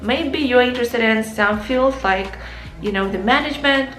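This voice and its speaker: A woman's voice: a little high, moderate pace, fairly bright tone. A young woman whose first language is Ukrainian, giving earnest advice on learning English straight to her viewers and calling them guys. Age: young adult. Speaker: English teacher and vlogger. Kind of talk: casual advice lesson to camera. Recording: echoey room with faint music.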